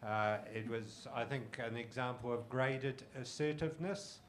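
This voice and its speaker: A man gives steady, measured feedback to a team after their performance. His pitch sits in the middle range. A faint noise runs behind him. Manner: measured, congratulatory. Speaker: judge giving feedback. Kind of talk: spoken feedback at a competition.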